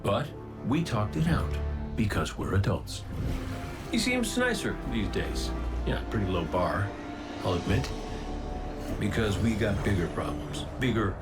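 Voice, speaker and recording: An actor playing a wry, easygoing resurrected robot gunslinger in a video game cutscene, talking in a folksy, colloquial way. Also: male voice, lowish voice, very animated, unhurried, pauses often. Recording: music behind the voice.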